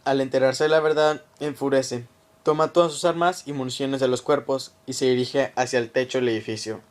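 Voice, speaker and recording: A man reading scripted narration for a radio drama, telling a story in the third person. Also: mid-range voice.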